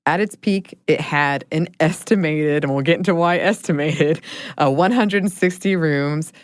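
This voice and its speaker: A woman with a low voice co-hosts a podcast, chatting casually and laughing often. Her voice sometimes sinks into a quiet murmur. The recording is dry and close, with no room sound. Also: a little slow, dry recording. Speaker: podcast co-host.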